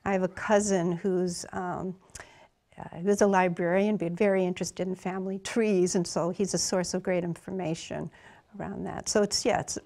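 A woman in her sixties, a Welsh-speaking artist born in England and raised in New York State, telling her family story gently and thoughtfully in a studio interview. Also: medium pitch. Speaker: guest artist and poet. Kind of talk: reflective conversational storytelling. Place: dark television studio.

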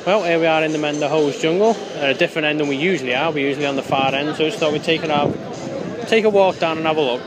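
A man gives chatty, grumbling commentary from the stands of an outdoor rugby ground, filmed on a handheld phone. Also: fairly high pitch. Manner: chatty, grumbling, talks over the crowd. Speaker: fan vlogger at a rugby ground. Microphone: phone camera held in hand. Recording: loud crowd noise, outdoor phone recording. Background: loud crowd and outdoor noise.

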